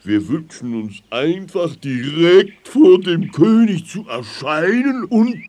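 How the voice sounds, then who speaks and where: An actor playing a childlike, naive and excitable little bear in a children's audio play. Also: mid-range voice, takes it slowly. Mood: scheming. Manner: exclaiming, gushing.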